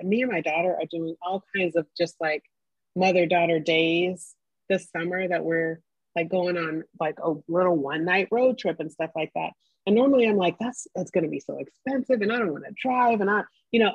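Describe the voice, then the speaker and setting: A woman's voice: on the low side, husky, no echo. A middle-aged woman co-hosting a casual podcast chat, candid and emphatic, swearing offhandedly. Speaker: podcast co-host. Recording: dull, dry lo-fi sound.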